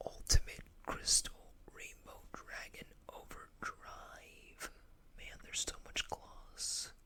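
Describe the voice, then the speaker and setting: Slow, whispering ASMR host. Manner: soft unhurried whispering, reading card text with casual asides. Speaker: host of a card-collecting ASMR video. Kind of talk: whispered ASMR card showcase.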